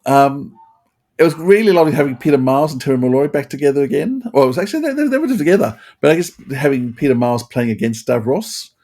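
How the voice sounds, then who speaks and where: A man hosting a casual review chat, rambling and halting, often repeating his phrases. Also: mid-range voice, animated, a little mellow. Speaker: podcast host.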